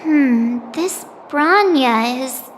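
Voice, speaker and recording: An actress voicing a perky, chatty young woman traveler in a video game, bubbly and expressive. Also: high-pitched, drawn-out words, a little hiss or hum, exaggerated voice. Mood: uneasy, pondering. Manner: bubbly, informal, expressive with hums and sighs. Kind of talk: English video game voice acting. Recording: reverberant, with music underneath.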